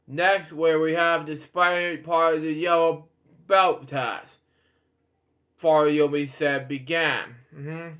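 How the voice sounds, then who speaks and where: A man hosting a read-aloud of children's stories in a casual, halting, rambling way with off-the-cuff asides. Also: on the high side, a bit bright. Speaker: host reading bedtime stories.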